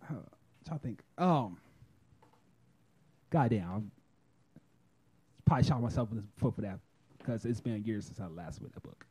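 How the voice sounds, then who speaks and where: A man's voice: fairly low pitch, animated, normal speed, pauses often, warm tone, slightly rough. A man co-hosting a casual podcast chat, rambling in a laid-back, profane way, streamed live from a phone. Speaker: podcast co-host. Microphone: phone livestream.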